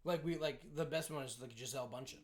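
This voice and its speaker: A man's voice: medium pitch, quick pace. A man from the United States co-hosting a podcast, chatting casually and laughing often. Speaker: podcast co-host. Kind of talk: casual chat.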